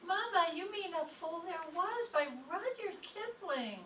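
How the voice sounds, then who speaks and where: Little daughter played by a woman, piercing high bright voice, old radio comedy, distant roomy sound. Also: lively intonation, a little slow, heavily acted. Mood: correcting. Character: young daughter correcting her mama. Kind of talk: stage re-creation of 1930s radio comedy. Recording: echoey distant stage recording, faint noise.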